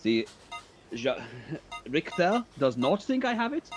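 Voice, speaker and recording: A man's voice: fairly high pitch, sing-song, takes it slowly. A man talking casually as a let's-player, with music playing behind him while he chats over gameplay. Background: game music.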